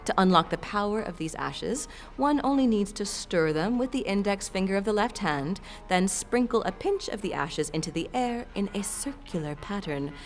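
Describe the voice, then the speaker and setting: A woman's voice: medium pitch, animated, normal speed, few pauses, soft background music, lightly acted. A woman playing an exotic, theatrical curiosity-shop keeper in a fantasy audio drama, savoring her words like a saleswoman. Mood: storytelling.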